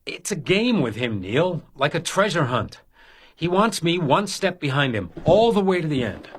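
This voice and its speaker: A man's voice: on the high side, very animated, normal speed, husky. A man playing an anxious, driven house detective in a radio crime drama, talking in urgent, clipped phrases. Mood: urgent, intense.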